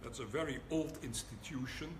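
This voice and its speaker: Older Dutch-accented man lecturing in an echoey hall. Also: medium pitch. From Netherlands. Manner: wry, deliberate, pauses for laughs. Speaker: rabbi giving a lecture. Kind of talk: public lecture. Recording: echoey hall, audible room noise.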